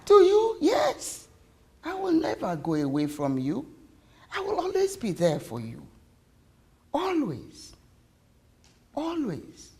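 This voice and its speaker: A woman voicing a loving, weary, emotional mother in a story, with pleading, earnest, drawn-out words. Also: sits low, huge pitch swings, warm tone, pitched below the performer's own voice, heavily acted. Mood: tender, resolute.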